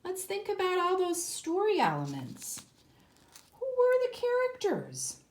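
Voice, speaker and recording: A woman teacher reads a story aloud for young children. Her delivery is slow and highly animated, with deliberate emphasis on key words. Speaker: kindergarten teacher.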